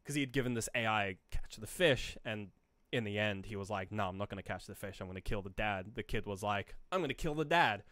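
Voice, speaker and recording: A man's voice: on the low side, a little fast, a bit bright, dry recording. A man co-hosting a podcast, chatting casually about film and slipping into imagined dialogue as he explains. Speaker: podcast co-host.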